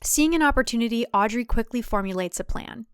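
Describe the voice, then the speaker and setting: Female narrator, dramatic thriller storytelling, higher pitch, crisp clear tone, dry close recording. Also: animated, fairly quick, no echo.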